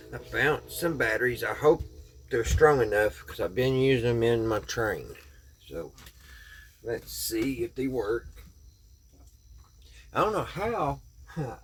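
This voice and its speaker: A man's voice: mid-range voice, a bit bright. A man hosting a casual hands-on vlog, talking to viewers in a folksy way and thinking aloud as he works. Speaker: vlog host.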